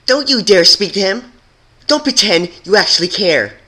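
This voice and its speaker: A man's voice: sits high, lively intonation, moderate pace, pushed and bright, pitched above the performer's own voice, full character voice. A man voicing a bitter rebel pony mare with a hostile, accusing snarl, in a storybook reading. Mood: enraged.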